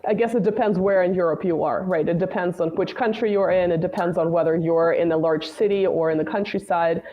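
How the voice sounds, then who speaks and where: A woman's voice: lowish voice, fairly even intonation, brisk, muffled recording. A woman speaking as a guest commentator in a casual political discussion, talking at length and often adding "right?" and "you know". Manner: talkative, many "right?" and "you know" tags.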